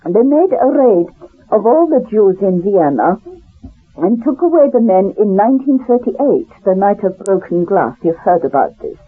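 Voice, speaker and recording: A woman's voice: mid-range voice, big pitch swings, normal speed, breathy edge. An actress playing an elderly woman from Poland with a Polish accent, rambling through memories in a radio drama monologue and talking straight to the listener. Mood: reminiscing. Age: older. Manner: rambling, addresses listener directly, laughs at herself. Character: elderly refugee woman, talkative, proud, wry survivor. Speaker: storyteller recounting her past. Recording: tinny lo-fi old radio recording.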